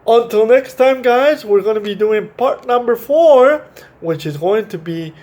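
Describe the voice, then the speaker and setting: A man hosting a children's storytime, reading and chatting to young kids in a halting, repetitive way with simple explanations. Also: high-pitched, animated, darkish tone. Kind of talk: reading a picture book and talking to young children.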